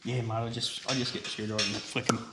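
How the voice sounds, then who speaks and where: A man's voice: mid-range voice, level tone, fast talker, bright, forceful tone. A man working as a mechanic, explaining engine parts in casual, matter-of-fact workshop chat. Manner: easygoing, matter-of-fact, laughs easily. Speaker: mechanic helping on the restoration. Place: home garage workshop. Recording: noisy workshop sound.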